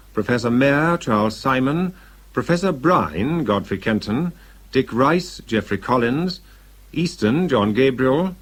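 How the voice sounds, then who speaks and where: A British man announces scripted radio credits. His delivery is formal and measured, yet his intonation moves around in a lively way at a middling pitch.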